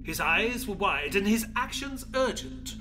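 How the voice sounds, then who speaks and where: Earnest male sidekick and storyteller in audio play; slow, expressive, music underneath. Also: high voice. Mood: measured, narrating. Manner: measured, descriptive storytelling delivery. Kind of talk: audio drama narration and dialogue.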